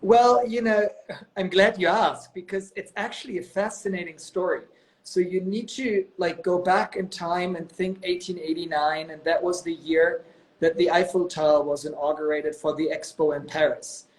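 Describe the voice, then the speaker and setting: A man's voice: high-pitched, steady tone, normal speed, rough recording. A man in his thirties from Germany, telling stories as a guest on a live video call, chatty and full of "you know" and "um". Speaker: guest, company executive.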